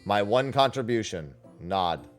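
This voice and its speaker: A man's voice: fairly low pitch, animated, unhurried, faint music behind. A man reading game dialogue aloud in a dramatic style as he streams.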